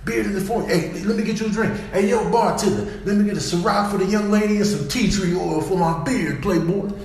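A man voicing a boastful, smooth-talking, flirty male lizard in slangy street talk for a comic animal voiceover. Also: sits high, fairly quick, a little mellow, very echoey. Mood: cocky, flirty. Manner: cocky slangy street talk, repeats phrases.

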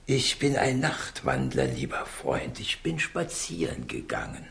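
Deep, creaky old professor. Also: male voice, huge pitch swings, fairly bright tone, exaggerated voice. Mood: evasive. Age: older. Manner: courtly, halting, patronizing. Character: frail, courteous old professor, evasive morphine addict. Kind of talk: 1960s German radio crime drama acting. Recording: noisy old 1960s radio recording.

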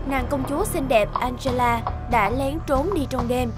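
A woman reading scripted narration for a children's animated fairy tale. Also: sits high, moderate pace. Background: music.

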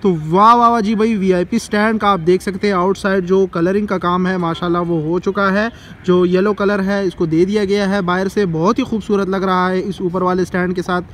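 A man's voice: high voice, fairly even intonation, fairly quick, fairly bright tone, slight background noise. A young Pakistani man with an Urdu accent, walking outdoors through a construction site and chatting enthusiastically about the progress, recorded on a phone camera. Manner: chatty and enthusiastic, repeats "bhai" and "dekh sakte hain". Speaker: vlogger. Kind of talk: walking tour commentary. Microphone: phone camera at arm's length.

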